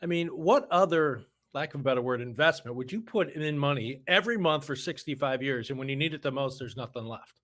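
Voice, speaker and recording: A man's voice: mid-range voice, fairly quick, few pauses, a bit bright. A middle-aged American man talking casually as a financial advisor on a podcast, blunt and folksy, often posing rhetorical questions. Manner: opinionated, blunt, folksy, uses rhetorical questions and acted-out quotes. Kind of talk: casual conversational podcast talk.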